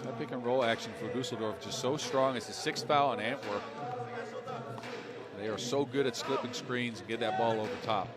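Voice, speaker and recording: A man calls a basketball game in English. His voice sits in an ordinary middle pitch. His delivery is relaxed and chatty, and it rises when the big shots fall. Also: moderate pace, a loud crowd. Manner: conversational, excitable on big shots. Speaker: play-by-play commentator. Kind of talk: live basketball commentary.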